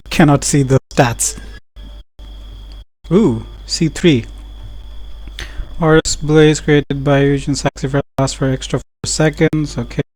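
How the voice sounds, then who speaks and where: A man's voice: mid-range voice, darkish tone, low-quality recording. A man giving casual gameplay commentary as a gaming YouTuber, in short offhand reactions.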